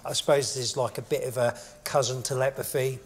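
A man's voice: medium pitch, steady tone, a little fast, slight background noise. A man, talking casually as an interviewee. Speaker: interviewee, builder. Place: large barn interior.

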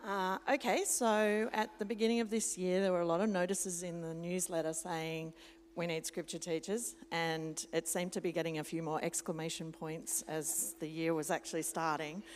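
A woman's voice: on the low side, flat delivery, normal speed, slight background noise. A woman, a scripture teacher and school chaplain, chatting animatedly on stage and acting out classroom moments. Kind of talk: casual interview on stage. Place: church sanctuary. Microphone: handheld mic. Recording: long church hall reverb.

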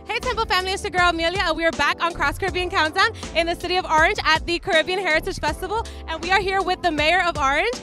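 Fast, very high-voiced female host. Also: music in the background. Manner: bubbly, fast. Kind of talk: bubbly host interview. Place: outdoor park festival. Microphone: handheld mic.

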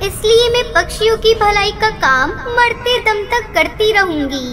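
A woman plays a brave little female ghost bird from a cartoon. The voice is extremely high and childlike, with an earnest, kindly manner. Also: expressive, a little slow. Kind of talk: Hindi moral-story cartoon dubbing.

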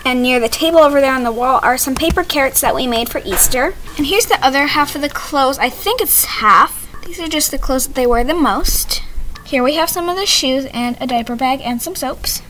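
A young girl with a high voice talks casually as a vlog host. She rambles along chattily and often corrects herself. The homemade recording carries some audible background noise. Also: a little fast, a bit bright, low-quality recording. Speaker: host of a doll video channel. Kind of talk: casual home tour vlog chat. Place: small home playroom, indoors. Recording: noticeable background noise, amateur camera audio.

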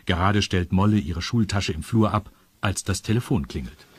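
A man's voice: deep voice, moderate pace, heavy background noise. A man with a standard German accent narrating a scripted children's audio story.

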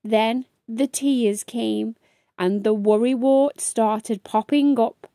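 A woman reading a children's picture book aloud in an expressive, careful storytelling manner. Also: fairly high pitch, slow pace, no room sound, clean studio sound. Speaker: storytime reader.

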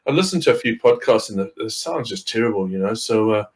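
A man's voice: lowish voice, lively intonation, fast, background noise. Middle-aged man, from Zimbabwe, casual podcast guest, rambling, lots of fillers, video call from home. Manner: rambling, many fillers and restarts, swears casually. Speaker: podcast guest, wreck diver. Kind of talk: casual chat. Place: home room with window.

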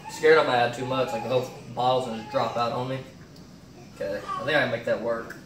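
A man's voice: mid-range voice, steady tone, quick pace. A young man casually talking through his meal prep at home, thinking aloud with lots of fillers. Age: young adult. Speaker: fitness cooking vlogger. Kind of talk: casual cooking demo. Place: home kitchen, indoors. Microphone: far camera on a stand. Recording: echoey kitchen, far-off camera mic, noisy.

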